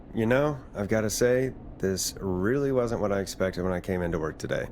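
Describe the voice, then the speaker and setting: A man's voice: on the low side, expressive, normal speed, darkish tone, slight background noise, lightly acted. An actor playing a nervous, wry male late-night radio host in a video game, speaking a little hesitantly. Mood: wry, concerned.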